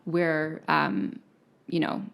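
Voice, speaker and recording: A woman from Malaysia with a slightly low voice talks as a podcast guest. She reflects slowly on her ideas, peppering her speech with "like" and "right?" in a loose, conversational interview. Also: little pitch movement, gravelly, a bit bright, clear, resonant tone. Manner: reflective, frequent "like" and "right?" tags. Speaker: podcast guest, psychic intuitive.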